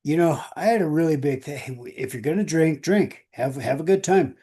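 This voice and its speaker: A man's voice: fairly high pitch, moderate pace, mellow, slightly rough. A middle-aged American man, a musician, telling anecdotes in a loose, casual conversational way.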